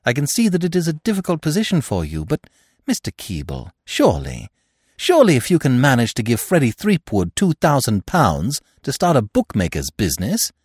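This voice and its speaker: A man's voice: medium pitch, wildly swooping pitch, brisk, a little mellow, slightly rough, no room sound, clean studio sound, pitched above the performer's own voice. A man reading aloud, voicing a spirited young woman who speaks firmly and earnestly. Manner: earnest, pressing questions.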